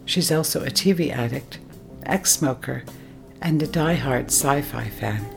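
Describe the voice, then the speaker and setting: A woman hosting a radio story show, reading a measured, formal introduction. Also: extremely low pitch, gravelly, music in the background.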